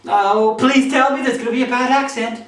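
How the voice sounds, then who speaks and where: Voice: medium pitch, fairly even intonation, brisk, a bit bright. A comedy film review host with a sarcastic, theatrical, mock-imperious way of delivering scripted commentary. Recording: roomy echo, faint background noise.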